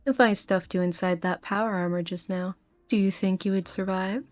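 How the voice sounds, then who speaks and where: A woman voicing a sly, confident female commander in an amateur fan dub, teasing and unhurried. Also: medium pitch, steady tone, no room sound, soft background music, lightly acted.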